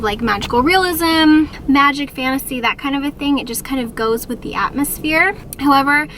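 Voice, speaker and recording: A young woman talks to her camera in a casual, bubbly book-vlog style, filling her sentences with "like" and "um." Her voice sits high. She sounds a little sniffly from a cold that is coming on. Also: sharp tone, a little hiss or hum. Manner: bubbly and chatty, many "like"s and "um"s. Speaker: book vlogger.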